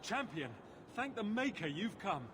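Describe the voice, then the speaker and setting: An actor playing an anxious subordinate in a video game, urgent, fearful and formal. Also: male voice, high-pitched, big pitch swings, unhurried. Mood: alarmed. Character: anxious male subordinate bringing alarming news. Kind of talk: video game cutscene dialogue. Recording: clear background noise.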